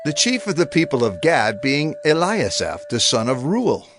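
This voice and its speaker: Animated male scripture reader, faint music. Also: a little high, moderate pace. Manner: formal, proclaiming. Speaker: narrator.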